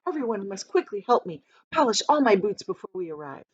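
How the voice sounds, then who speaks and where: A woman's voice: mid-range voice, big pitch swings, brisk, breathy edge, lo-fi sound. A woman voicing a vain, fussy male centipede in a storybook, exclaiming in a self-important way. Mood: fussy, flustered.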